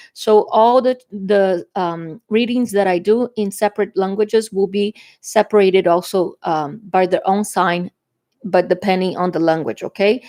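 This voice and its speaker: A woman's voice: mid-range voice, darkish tone. A woman from Brazil with a Brazilian Portuguese accent, Portuguese her first language, chatting her way through a tarot reading and often tagging "okay?". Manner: chatty, frequent "okay?" tags and fillers. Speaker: tarot reader.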